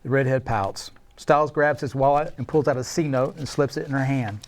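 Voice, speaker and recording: A man's voice: mid-range voice, a little fast. A man narrating screenplay action and scene headings at a table read, in a scripted, dramatic style.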